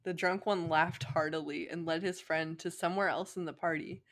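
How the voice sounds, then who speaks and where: A young woman with a slightly low voice narrates a story informally. Her delivery is playful and giggly, like a casual co-host reading fan fiction with a friend. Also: fairly even intonation, moderate pace, a bit bright.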